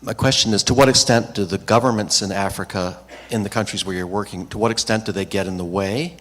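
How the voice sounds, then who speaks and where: A man with a lowish voice asks a pointed audience question, circling back with a few restarts. His voice carries a long reverb in a large hall. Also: fairly even intonation, quick pace, a bit bright. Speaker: audience member asking a question.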